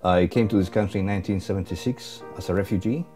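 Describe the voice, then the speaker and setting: A man gives documentary interview testimony. His voice is very deep and dark, and he speaks hesitantly, pausing on fillers and trailing off quietly. Faint guitar music plays behind him. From Chile. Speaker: interviewee, former refugee.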